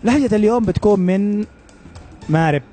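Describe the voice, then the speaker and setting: A man with a Yemeni accent interviewing passersby in the street with brisk, upbeat questions. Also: sits high, music in the background. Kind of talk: street vox pop interviews.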